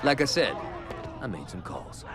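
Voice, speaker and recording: A very deep-voiced gangster. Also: male voice, big pitch swings, normal speed, very noisy. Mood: deadpan. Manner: streetwise, casual. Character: gruff, laid-back male gangster lieutenant. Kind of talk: video game cutscene acting.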